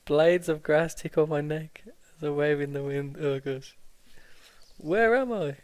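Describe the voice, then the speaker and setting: A man's voice: a little high, a little slow, a little mellow, clear, resonant tone, no room sound. A man hosting a let's play, reading a visual novel aloud with stumbles, self-corrections and laughs.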